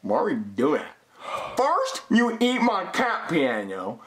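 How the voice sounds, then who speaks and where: A bratty little boy puppet, high and piercing. He whines and argues stubbornly, swinging widely in pitch, in the broad style of a comedy skit. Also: wildly swooping pitch, slow, a bit bright, full character voice. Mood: pestering, baffled. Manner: whiny, stubborn, argumentative.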